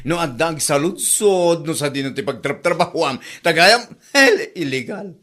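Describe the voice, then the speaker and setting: A man playing a loud, mocking, gossipy busybody in an Ilocano radio comedy drama, theatrical and full of exclamations. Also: a little high, expressive, a little slow. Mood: taunting.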